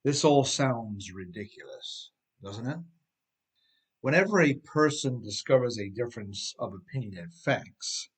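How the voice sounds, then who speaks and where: A man's voice: medium pitch, breathy edge. A middle-aged man reading his own opinion essay aloud, conversational and folksy, putting questions straight to the listener. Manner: rhetorical questions, folksy direct address to listener.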